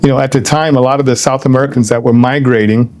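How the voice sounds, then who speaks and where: A man's voice: medium pitch, steady tone, quick pace, darkish tone, a little hiss or hum. An older man from Ecuador, interviewed as a guest, speaking reflectively with many fillers and restarts and dropping in Spanish phrases.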